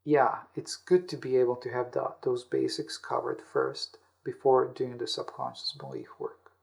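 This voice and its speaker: Creaky-voiced man explaining self-help ideas. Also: medium pitch, lively intonation, moderate pace, darkish tone. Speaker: podcast host and author being interviewed.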